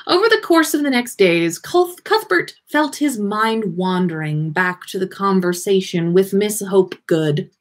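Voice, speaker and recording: A woman's voice: mid-range voice, expressive, a little slow, few pauses. A woman reading a story aloud expressively, with theatrical emphasis and the occasional stumble. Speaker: podcast host reading listener fan fiction aloud.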